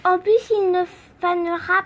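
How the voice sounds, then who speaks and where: A young female reader reading a children's book aloud in a halting, playful way, word by word. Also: very high voice, steady tone. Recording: faint background noise.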